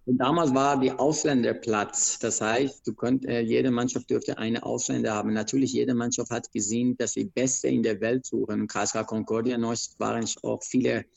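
A man from Iran with an Iranian accent, a wrestler recounting his career in an interview, speaking in halting, broken phrases with many restarts. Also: mid-range voice, steady tone, gravelly, muffled recording. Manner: broken grammar, frequent restarts and hesitations. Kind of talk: interview, telling his life story.

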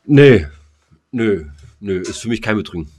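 Dry-sounding, lower-pitched man chatting casually. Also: expressive, measured pace, darkish tone, breathy edge, dry recording. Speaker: podcast co-host. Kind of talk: casual, joking podcast chat.

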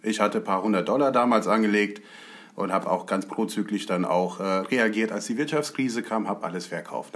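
A man who grew up in the United States, talking through stocks in a measured, explanatory way in a casual conversation. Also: lowish voice, a bit bright.

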